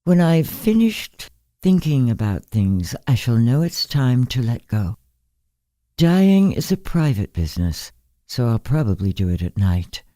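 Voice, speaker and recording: A woman reading the part of an ancient, frail, blind woman in an audiobook story, measured, polite and composed. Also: very deep voice, sing-song, warm tone, no echo, full character voice. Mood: calm, resolute.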